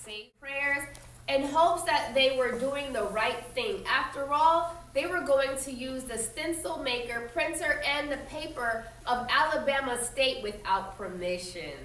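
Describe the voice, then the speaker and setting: A woman teacher reading a storybook aloud expressively to young pupils, emphatic and instructive, pausing to explain and ask questions. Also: high-pitched, a bit bright. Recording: echoey room, distant mic, faint noise.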